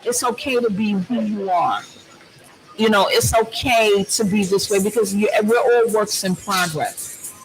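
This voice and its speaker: A woman's voice: medium pitch, a little slow, slight background noise, rough recording. Earnest woman with a strong voice. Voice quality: loud, strong voice. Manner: earnest, thoughtful, frequent ums. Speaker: guest. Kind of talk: reflective personal conversation. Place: huge, loud space.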